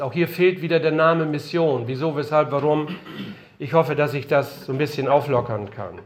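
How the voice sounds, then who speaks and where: An older man giving an earnest, emphatic lecture-style sermon in a conference room, his voice a little strained from being unwell. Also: on the high side. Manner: earnest, emphatic, rhetorical questions. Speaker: missionary speaker.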